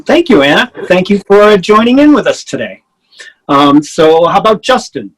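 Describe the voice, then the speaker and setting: A man's voice: high voice, big pitch swings, moderate pace, fairly bright tone, low-quality recording. A man hosting an online fan talk, introducing each guest in turn in a friendly way. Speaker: host.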